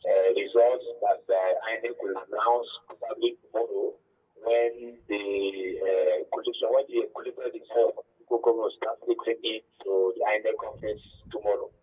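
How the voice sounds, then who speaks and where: A man's voice: on the low side, steady tone, slightly rough, distant mic. Nigerian man on a tinny phone line. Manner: halting, repetitive. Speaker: local phone-in interviewee. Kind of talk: spontaneous eyewitness report. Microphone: telephone.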